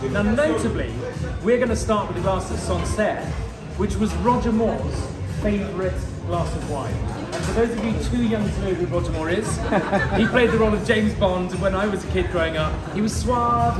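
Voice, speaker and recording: A middle-aged man giving an enthusiastic standing wine toast at a dinner, recorded from a distance in a large restaurant. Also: sits high. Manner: expressive, enthusiastic storytelling, standing and gesturing with a glass. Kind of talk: after-dinner toast speech. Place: large restaurant dining room. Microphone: far camera. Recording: echoey room, loud music behind. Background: music.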